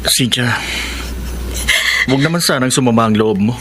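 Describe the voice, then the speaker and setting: An actor playing a suspicious, jealous young fiancé in a classic radio drama. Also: male voice, mid-range voice, moderate pace, a bit bright, slight background noise, natural voice.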